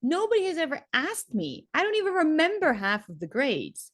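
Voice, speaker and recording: A woman's voice: sits high, wildly swooping pitch, normal speed, no echo, clean studio sound. A young adult woman, a teacher, talking casually and reflectively, full of "like" and "um". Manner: chatty, loose, with frequent "like" and "um".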